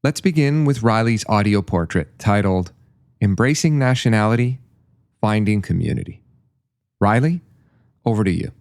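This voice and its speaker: A man hosting a radio show, introducing each segment in a steady, announcing manner. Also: lowish voice, normal speed, pauses often. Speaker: radio host. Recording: voice over loud music bed.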